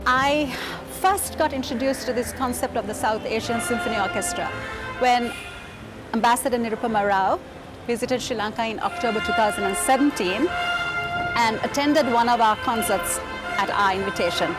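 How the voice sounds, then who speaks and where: A woman calmly recounting events in a documentary interview. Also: fairly high pitch, moderate pace, over loud music, rough recording.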